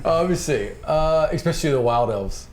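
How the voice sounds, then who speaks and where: A man's voice: fairly high pitch, expressive, a little fast, a little mellow, slight background noise. A man narrating a tabletop fantasy game as its game master.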